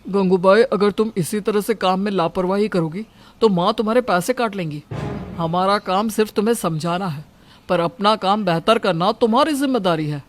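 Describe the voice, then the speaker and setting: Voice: mid-range voice, moderate pace, faint music behind, lightly acted. A performer voicing a stern, distrustful married man in a household story, lecturing curtly. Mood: stern, dissatisfied. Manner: lecturing, curt.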